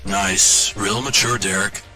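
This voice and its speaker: A man's voice: lowish voice, steady tone, slow pace, bright, forceful tone, soft background music, heavily acted, low-quality recording. A man playing a brash, crude but loyal young vigilante in a video game, with a cocky, slangy way of talking. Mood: cocky banter. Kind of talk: cinematic video game dialogue.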